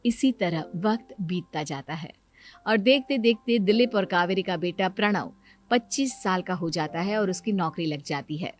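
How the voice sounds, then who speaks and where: A woman's voice: on the low side, normal speed, soft background music. A woman reading scripted narration as the storyteller.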